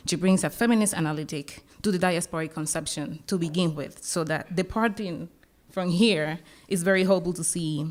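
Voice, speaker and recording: A woman from Colombia with a Colombian accent, speaking English as a second language, giving a panel discussant's commentary with some hesitation. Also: on the low side. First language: Spanish. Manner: hesitant, with fillers and restarts. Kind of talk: academic conference commentary.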